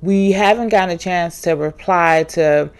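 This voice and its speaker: Lady podcast host, kinda low voice, chill, lots of ums. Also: normal speed, clear, resonant tone. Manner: easygoing, with frequent ums and restarts. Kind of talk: casual show intro and interview.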